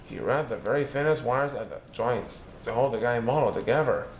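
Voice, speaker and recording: A man reading a children's picture book aloud, lifting his voice high for the characters' lines. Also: fairly quick. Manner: lively, raising his pitch for characters' lines. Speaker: storybook reader. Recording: echoey room with faint background noise.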